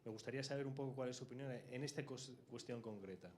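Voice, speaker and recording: A man asks a question at a press conference in an even, mid-pitched voice. He stumbles slightly over a few words, and a little noise sits under the recording. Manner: slightly halting, repeats words. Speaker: journalist asking a question.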